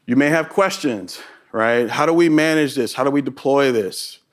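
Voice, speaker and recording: A middle-aged man with a Texas accent giving a tech conference talk to a live audience. He keeps the room engaged, often tagging his points with a quick "right?". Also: medium pitch. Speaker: conference presenter.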